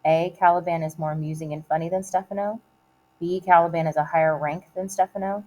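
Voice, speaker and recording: A woman reading aloud like a teacher giving a test, in a measured, deliberate way with short, separated phrases. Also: lowish voice, fairly even intonation, warm tone, low-quality recording. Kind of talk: read-aloud of multiple-choice questions and quoted play lines.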